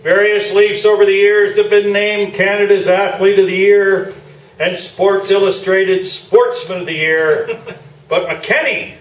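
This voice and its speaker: Pompous, partisan sports pundit played by a male actor, high-pitched, comic stage radio play, echoey and distant. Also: fairly even intonation, unhurried. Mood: proudly boastful. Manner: pompous, self-assured. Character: pompous, partisan old-school male sports columnist and hall of fame chairman. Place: small theatre, live performance. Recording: roomy theatre stage, distant mic.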